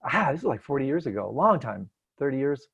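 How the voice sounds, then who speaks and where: A man's voice: mid-range voice, sing-song, fast talker, creaky, slightly rough. Man teaching over a muffled online call. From Detroit. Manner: gentle, searching, many restarts. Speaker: meditation teacher answering questions. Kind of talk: relaxed spoken teaching, unscripted. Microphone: video call.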